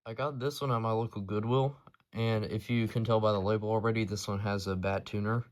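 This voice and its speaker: A man's voice: lowish voice, steady tone, fairly quick, noisy background. A man presenting his hobby collection in a casual, unscripted show-and-tell, with a matter-of-fact, informal delivery.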